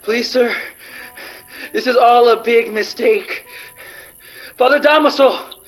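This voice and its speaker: An actor playing an anxious, decent Spanish gentleman in a radio drama, pleading and stammering. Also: very high-pitched, takes it slowly, soft background music, low-quality recording. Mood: panicked pleading.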